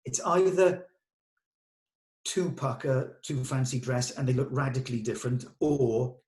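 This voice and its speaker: A man's voice: medium pitch, a little slow, a little mellow. A man talking casually as a director in a production meeting, explaining things in a considerate, hedging way. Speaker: film director leading a table read.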